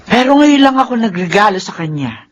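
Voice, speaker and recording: Lively, chiding Filipino mother. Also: medium pitch, fairly quick, dull audio. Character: middle-aged mother, practical, teasing, gently scolding. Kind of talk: Filipino radio drama acting.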